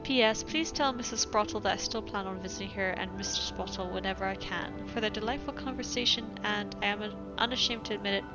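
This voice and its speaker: A woman's voice: medium pitch, fast talker, few pauses, dull audio. Giggly woman streamer, mixed European accent. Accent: mixed European English. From British, raised elsewhere in Europe. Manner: chatty, giggly, many fillers. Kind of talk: casual gaming chat and reading game letters aloud. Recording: music playing behind.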